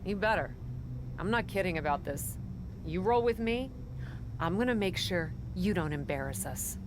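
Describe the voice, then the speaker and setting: A cocky young female wrestler from a video game cutscene, played by a woman. Her voice sits on the low side, and she talks in a blunt, commanding, sassy way. Clear background noise runs under her. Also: animated, moderate pace, lightly acted. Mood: bossy teasing.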